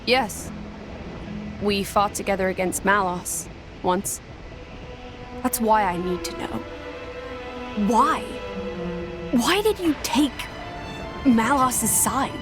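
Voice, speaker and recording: A woman's voice: mid-range voice, expressive, takes it slowly, long pauses. An actress playing a proud, blunt young woman warrior with hidden hurt in a video game cutscene. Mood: wounded, probing. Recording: music behind the voice.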